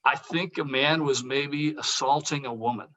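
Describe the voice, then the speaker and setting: An actor plays an evasive, jittery man in a table read. His bright, forward voice sits at a middle pitch. He stammers, restarts and trails off awkwardly. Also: moderate pace, lightly acted. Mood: nervous, apologetic.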